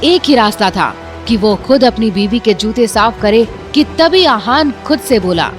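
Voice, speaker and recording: A woman's voice: on the high side, lively intonation, normal speed, faint music behind. A woman narrating a scripted audio drama in a dramatic storytelling manner.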